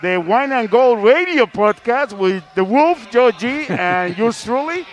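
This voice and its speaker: A man's voice: very high-pitched, animated, unhurried, dull audio. A man hosting a basketball live stream in a playful, chatty, casual way, over some venue noise. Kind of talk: casual sports broadcast chat.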